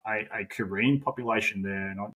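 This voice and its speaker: A man's voice: fairly low pitch, a little fast, bright, forceful tone, husky, rough recording. An Australian man in his forties, a podcast guest talking casually, rambling with lots of fillers and the odd swear word. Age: 40s. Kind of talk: casual conversational interview.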